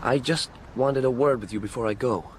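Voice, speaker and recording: A man's voice: mid-range voice, moderate pace, slight background noise, natural voice. An actor playing an anxious, earnest young man in a radio drama, hesitant in his delivery, with faint ambience behind him. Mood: tentative.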